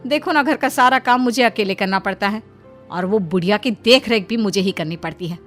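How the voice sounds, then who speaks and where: A woman's voice: medium pitch, a little fast, a bit bright, soft background music, natural voice. A woman playing a resentful middle-aged wife who complains and nags in a moral story.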